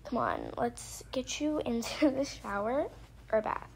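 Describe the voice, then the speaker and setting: Woman as exasperated mother, stern and scolding, highish female voice, slightly noisy home roleplay. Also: huge pitch swings, a little slow, gravelly, full character voice. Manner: bossy, scolding, impatient. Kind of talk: pretend-play family roleplay.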